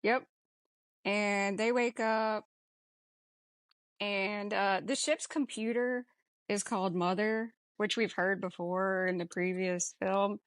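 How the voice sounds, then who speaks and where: Animated-sounding woman podcaster, close dry sound. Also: medium pitch, takes it slowly, clear, resonant tone, dry recording. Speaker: podcast co-host. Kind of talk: casual movie discussion.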